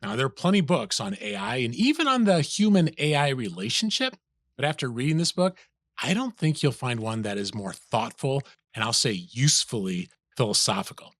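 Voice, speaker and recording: A man's voice: medium pitch, big pitch swings, brisk, fairly bright tone, no room sound, clean studio sound. A man hosting a podcast, giving a polished, enthusiastic introduction and asking questions. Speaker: podcast host.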